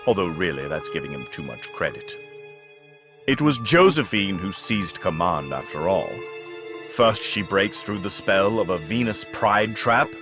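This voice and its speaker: An actor playing an ancient, scheming dark lord in an audio drama, with a pompous, formal and menacing delivery. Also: male voice, deep voice, big pitch swings, a little slow. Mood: brooding, scheming. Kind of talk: theatrical audio drama villain monologue. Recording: music behind the voice.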